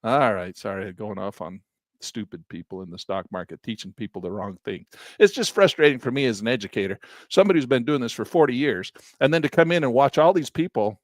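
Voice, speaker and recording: Older male educator, lively and exasperated, dry close recording. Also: fairly quick, no echo. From Southern Utah. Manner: animated and exasperated, mocks critics in a high squeaky voice, drops low to grumble. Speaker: trading educator. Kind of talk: live-stream trading lesson, off-the-cuff rant.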